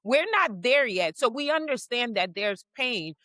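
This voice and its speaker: A woman co-hosting a faith-based talk show, explaining her points in a measured way. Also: fairly high pitch, expressive, bright, forceful tone, no echo, studio quality. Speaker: co-host. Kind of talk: casual faith-based discussion.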